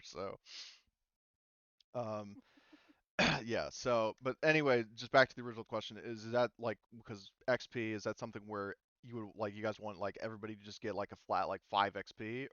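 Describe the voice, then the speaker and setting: Clear-voiced man running a casual game discussion. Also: mid-range voice, dry recording, muffled recording. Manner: informal, swearing, animated storytelling. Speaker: game master running a group planning session. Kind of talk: casual group discussion of tabletop game rules.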